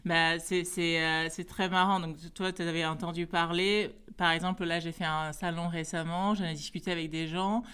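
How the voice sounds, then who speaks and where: A woman's voice: fairly low pitch, flat delivery, moderate pace, few pauses, a bit bright, clean tone. A middle-aged woman from Guinea-Bissau explaining things informally as a guest in a casual interview. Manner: informal, explanatory, occasional English words. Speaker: podcast guest, entrepreneur.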